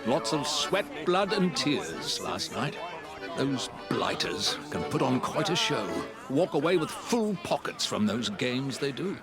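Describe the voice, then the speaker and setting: An actor playing a gossipy, wry Victorian pub local in a video game cutscene. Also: male voice, medium pitch, sing-song, a little slow, music in the background. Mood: amused, gossipy.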